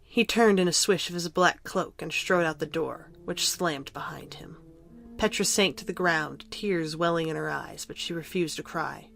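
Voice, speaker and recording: A woman's voice: low voice, normal speed, vocal fry, fairly bright tone, faint music behind. A woman narrator reading scripted fiction for an audio drama.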